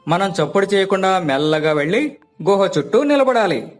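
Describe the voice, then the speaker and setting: Man acting a village strongman faking magic sight, high voice, earnest, music underneath. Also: sing-song, moderate pace. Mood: confident bluffing.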